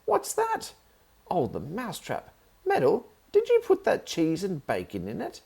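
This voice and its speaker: Male reader as indignant storybook aunt, high-pitched, nagging, wildly swooping exclamations, middle-aged. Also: huge pitch swings, measured pace, gravelly, warm tone, husky, exaggerated voice. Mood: outraged scolding. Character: fussy, scolding middle-aged aunt, easily outraged.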